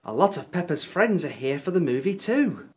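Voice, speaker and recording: A narrator telling a scripted children's story in an expressive way. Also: mid-range voice, moderate pace.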